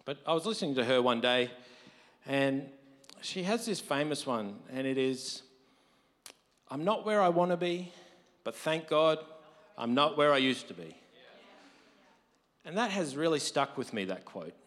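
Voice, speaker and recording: Man, preacher, deep tired voice, conversational sermon, long church-hall reverb. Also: lively intonation, measured pace, pauses often, a bit bright. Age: adult, married. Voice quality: deep voice from lack of sleep. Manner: conversational, builds to emphatic quotes.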